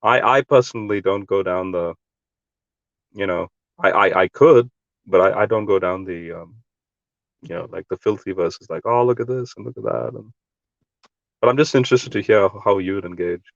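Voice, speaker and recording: A man's voice: lowish voice, moderate pace, gravelly, no room sound. A man in a casual online discussion, explaining his point earnestly with many fillers and restarts. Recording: dry, dull lo-fi audio.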